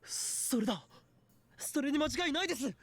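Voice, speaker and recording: A man's voice: very high voice, animated, fast, fairly bright tone, full character voice. A man playing an intense, grandiose young self-styled mad scientist in a Japanese visual novel. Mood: earnest. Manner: dramatic, grandiose. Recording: game audio with background music. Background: game music.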